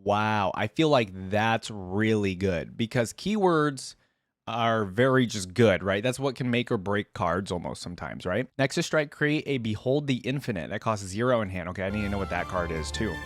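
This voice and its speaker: A man's voice: on the low side, animated, normal speed, no echo, studio quality. A man giving casual, enthusiastic reaction commentary as a video host, chatting directly to his viewers. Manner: enthusiastic, conversational, addresses viewers as "guys". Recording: music playing behind the voice.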